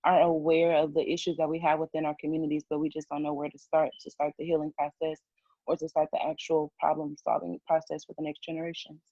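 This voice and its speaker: A woman's voice: low-pitched, little pitch movement, quick pace, warm tone, no room sound, dull audio. An American woman from Illinois, speaking thoughtfully with many ums as a discussion guest. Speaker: panel guest.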